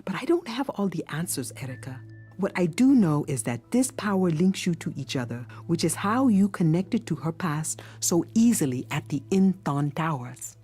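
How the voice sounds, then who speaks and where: An actress playing a wise, gentle older woman mentor in a video game, with measured, soft, solemn delivery. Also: on the low side, very animated, husky, soft background music. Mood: grave, explanatory. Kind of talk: dramatic video game acting.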